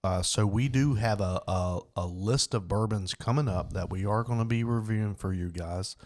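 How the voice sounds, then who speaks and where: A Southern US man with a very low, deep voice, chatting easily as a podcast co-host. His talk is laid-back and drawling, with the soothing tone he says listeners fall asleep to. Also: normal speed, a little mellow. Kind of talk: casual chat over bourbon.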